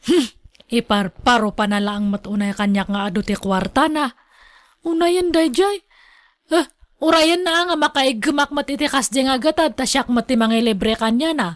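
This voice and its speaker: Lady acting strict jealous ilocano mom in a radio drama, highish voice, grumbly and scolding, dry sound. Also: fairly even intonation, normal speed, no echo, close to an everyday voice. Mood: resentful. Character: strict, envious, nagging middle-aged mother.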